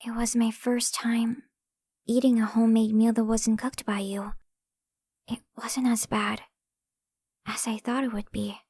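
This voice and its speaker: A woman's voice: a little high, flat delivery, a little slow, long pauses, dark tone. A woman voicing a guarded, prickly young girl in an anime fan dub, stubborn, earnest and defensive, with long reverb on her voice.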